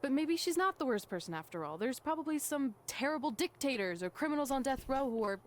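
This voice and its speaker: A woman's voice: high voice, sing-song, quick pace, slight background noise. A woman voicing a wry young wrestler, a proud best friend, in a video game cutscene, sarcastic and grudging. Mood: grudgingly apologetic.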